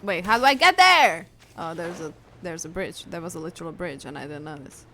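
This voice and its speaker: A woman's voice: fairly low pitch, lively intonation, a little fast, sharp tone, a little hiss or hum. A woman streaming a game, talking to herself in a loose, joking, casual way with some swearing. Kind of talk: casual livestream gaming commentary.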